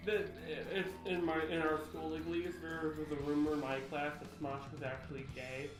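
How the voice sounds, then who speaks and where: A man with a lisp co-hosting a podcast in casual chat, rambling and jokey with quick tangents. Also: fairly high pitch, little pitch movement, echoey room, music in the background, low-quality recording. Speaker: podcast co-host.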